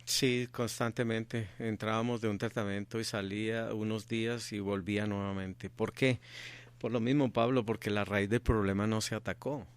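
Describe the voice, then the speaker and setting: A man's voice: fairly low pitch, fairly even intonation, normal speed, smooth. A middle-aged man from Colombia, answering interview questions earnestly and repeating his key points for emphasis. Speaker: interview guest, activist father.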